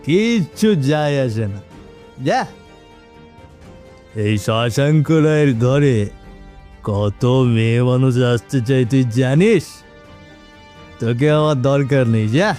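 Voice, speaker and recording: A man's voice: mid-range voice, animated, slow, pauses often, darkish tone, soft background music. A man voicing an arrogant, cruel, drunken landlord in a cartoon story, sneering and dismissive. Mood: scornful, dismissive.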